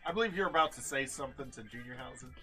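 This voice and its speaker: A man playing a tabletop game, talking in a relaxed, joking way. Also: mid-range voice, very fast, slightly rough, faint music behind. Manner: laid-back, joking. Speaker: player. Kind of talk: casual chat at a game table.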